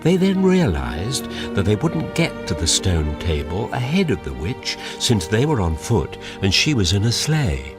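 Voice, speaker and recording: Low, animated male storyteller over music. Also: measured pace, hardly any pauses. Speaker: narrator. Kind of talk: scripted children's story narration.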